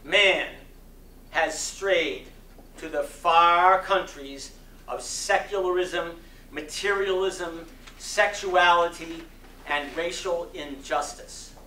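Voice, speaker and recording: A man giving a dramatic reading of a sermon, oratorical and impassioned, with deliberate phrasing and strong emphasis. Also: a little high, bright, forceful tone. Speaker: reader of a sermon. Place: community center hall. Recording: distant mic in echoey room, faint noise.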